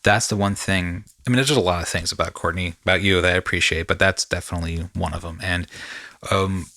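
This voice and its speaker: Man, low voice, dry close sound, casual podcast host, enthusiastic, repetitive phrasing. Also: steady tone, fast, pushed and bright, dry recording.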